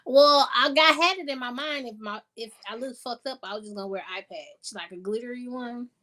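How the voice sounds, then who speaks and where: High-voiced woman, blunt podcast co-host. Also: fairly quick, bright, forceful tone. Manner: blunt and playful, swears casually. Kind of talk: casual chat.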